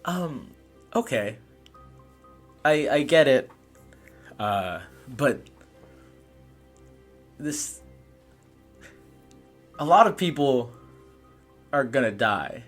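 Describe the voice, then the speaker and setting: A man's voice: medium pitch, big pitch swings, very slow, pauses often. A man playing a tabletop game, roleplaying casually in a hesitant, questioning way. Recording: music behind the voice.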